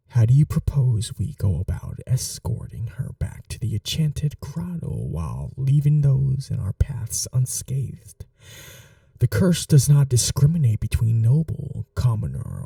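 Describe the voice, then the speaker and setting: Man playing a slow, dark-voiced scheming prince. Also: medium pitch, big pitch swings, gravelly, dry recording, clean studio sound, distant mic, heavily acted. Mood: stern, menacing. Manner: formal, measured, hushed, imperious. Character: scheming young prince, commanding yet tender to his bride. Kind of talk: dramatic ASMR audio roleplay.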